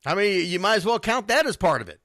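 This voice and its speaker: Guy doing sports radio talk, blunt, punchy, bright voice, kinda high, dry sound. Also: big pitch swings, fast, no echo. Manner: blunt, emphatic, repeats phrases for stress. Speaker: youth football radio show host. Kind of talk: informal sports talk monologue.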